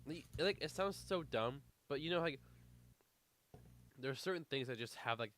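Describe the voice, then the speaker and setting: A man's voice: mid-range voice, expressive, normal speed, fairly bright tone. A man co-hosting a casual podcast, rambling with lots of fillers, a little congested. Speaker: podcast co-host. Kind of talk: casual chat.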